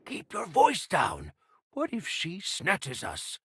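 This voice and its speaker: A nervous pirate sidekick from an anime game, with a very raspy voice. His wary, hesitant speech jumps wildly in pitch. He is a cautious man of middling register. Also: huge pitch swings, takes it slowly, fairly bright tone, no room sound, studio quality, full character voice. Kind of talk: English anime game voice acting.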